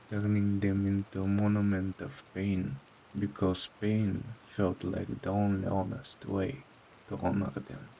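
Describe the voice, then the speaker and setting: A man narrating a scripted horror story in a solemn, dramatic way with deliberate phrasing. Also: lowish voice, gravelly, a little mellow, a little hiss or hum, low-quality recording. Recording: faint rain behind voice.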